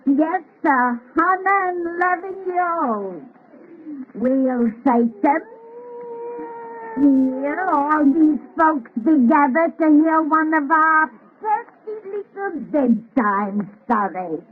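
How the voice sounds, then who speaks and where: Cracked, slow, ancient cackling witch. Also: high-pitched, expressive, narrow, tinny audio, a little hiss or hum. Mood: gleeful. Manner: slow, rustic archaic storyteller. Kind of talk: 1930s radio horror hostess.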